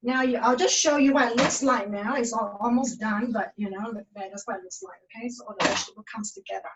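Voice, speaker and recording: A woman from Malaysia, giving a casual cooking demonstration in a halting way, full of fillers and restarts. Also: on the high side, heavy background noise.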